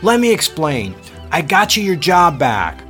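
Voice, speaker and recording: A man voicing a smooth, pleading man who calls loudly from a dock. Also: on the high side, big pitch swings, measured pace, soft background music, voice pushed up. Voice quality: loud, pleading. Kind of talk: audiobook character voice.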